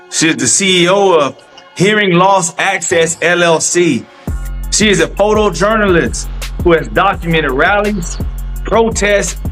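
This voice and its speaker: A man co-hosting a podcast who reads out a guest's introduction in a measured, announcing tone. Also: sits high, a bit bright. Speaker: podcast co-host.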